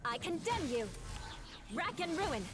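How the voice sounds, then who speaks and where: A stern young warrior woman from an anime game, calling out brief commands in battle. Her voice is extremely high and swings in pitch, caught in very noisy game audio. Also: sing-song, a little slow, heavily acted. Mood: stern, righteous. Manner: short, commanding battle cries.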